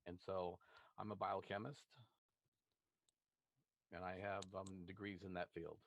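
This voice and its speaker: A man from Oakland with a somewhat low voice introduces himself on a discussion panel. He talks in a halting way, pausing on fillers and restarting his sentences. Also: level tone, dull audio. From Oakland, California. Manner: hesitant, many fillers and restarts.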